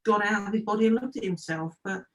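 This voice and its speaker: Earnest chatty woman, mid-pitched voice. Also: fast talker. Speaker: guest, trance medium. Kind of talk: casual interview chat.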